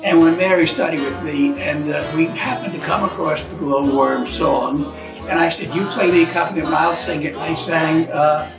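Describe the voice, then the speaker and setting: Older man, interviewee, hesitant storyteller, slightly high gravelly voice. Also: normal speed, over music. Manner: hesitant storytelling with many fillers. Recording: echoey, distant room sound.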